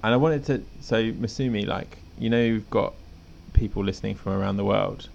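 A man's voice: on the low side, moderate pace, gravelly, a little mellow, lo-fi sound, a little hiss or hum. A man co-hosting a podcast in a laid-back, rambling casual chat, often chuckling. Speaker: podcast co-host.

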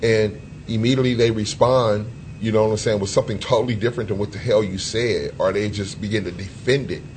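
A man co-hosting a podcast, talking loosely and profanely, with lots of "you know" fillers and sudden jumps in pitch. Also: steady tone, fast talker, darkish tone, slight background noise, poor audio. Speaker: co-host. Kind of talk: casual podcast discussion.

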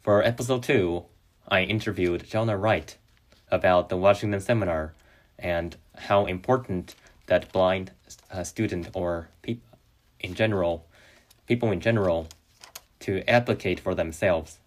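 Young man, Chinese native, very low voice. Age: young adult. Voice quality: halting, with frequent restarts and fillers. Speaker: podcast host. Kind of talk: solo podcast monologue.

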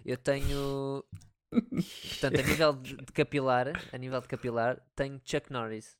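Smooth-voiced European Portuguese man, dry sound. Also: medium pitch, little pitch movement, dry recording. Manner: quick agreeing quips and short asides. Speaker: podcast co-host. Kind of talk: casual chat.

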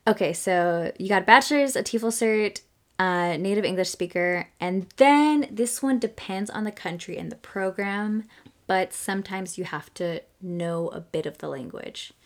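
A woman's voice: mid-range voice, a little slow. A young woman co-hosting a podcast, chatting casually and rambling with lots of fillers and laughter. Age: young adult. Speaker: podcast co-host. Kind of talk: casual chat.

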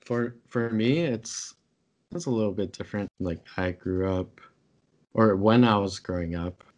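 A man's voice: lowish voice, measured pace, a little mellow. A young man, a podcast co-host whose first language is Taishanese, chatting casually with lots of fillers and self-corrections.

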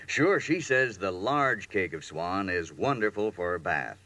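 A man's voice: deep voice, lively intonation, a little slow, bright, forceful tone, no echo. A man announcing in 1940s radio style, with a polished, salesmanlike delivery.

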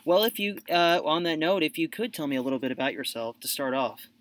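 A man's voice: fairly high pitch, animated, quick pace. A man hosting his own podcast, introducing his guest in a loose, rambling way with lots of fillers. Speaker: podcast host. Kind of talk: casual interview hosting.

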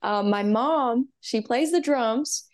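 A woman's voice: a little high, slow pace, darkish tone. A young woman from Texas, a musician guest talking earnestly and upbeat, with many "um" and "you know". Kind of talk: casual interview.

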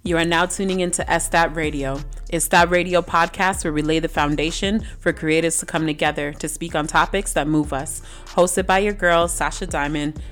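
A woman hosting a podcast, speaking in a relaxed, slangy, conversational way. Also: low-pitched. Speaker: podcast host.

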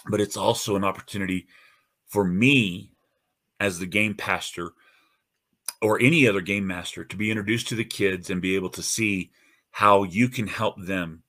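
A man with a low, radio-ready voice talks straight to his listeners as host of his own show. He sounds earnest and encouraging, stumbles into restarts and fillers, and keeps the tone casual and warm-hearted. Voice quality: deep radio-style voice. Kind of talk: casual podcast monologue.

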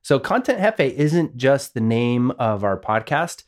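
A man's voice: mid-range voice, very animated, measured pace. A man co-hosting a casual podcast, upbeat and enthusiastic as he explains ideas. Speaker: podcast co-host, audio and video producer.

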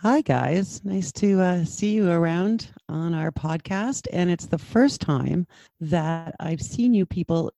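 A chatty, joking man co-hosts a podcast on a video call. His voice is gravelly and the sound is dry. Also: high voice, slow pace, warm tone, no echo. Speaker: podcast co-host. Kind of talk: casual chat.

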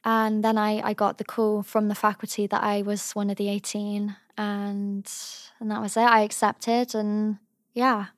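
A young woman from England with a high voice, giving thoughtful, earnest interview answers as a drama student. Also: flat delivery, measured pace, no room sound. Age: young adult. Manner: thoughtful, earnest, softly trailing phrases.

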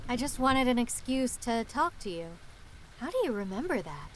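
A determined doctor played by a woman with a fairly high voice. She is earnest and concerned, in an audio drama with clear noise behind her. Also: sing-song, moderate pace. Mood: concerned, probing.

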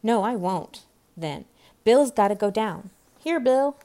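Bustling rustic storybook labourers, all acted by one woman, calling out loudly. They are bossy and squabbling, barking orders at one another. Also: mid-range voice, lively intonation, slow, voice pushed up. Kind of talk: storybook character voices.

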